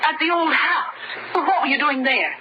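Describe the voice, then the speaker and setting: An anxious mother played by a woman speaks haltingly in a high, fretful voice. It is old radio drama acting, dull in sound and buried in heavy noise. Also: close to an everyday voice. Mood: worried. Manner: halting, fretful, repeats words. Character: anxious widowed mother of a missing boy. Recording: muffled, very noisy old radio recording.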